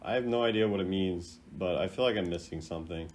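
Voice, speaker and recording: A man's voice: deep voice, fast, a little mellow, slight background noise. A man giving casual, off-the-cuff commentary as a video host, rambling and playfully opinionated. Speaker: video host rating game voice lines.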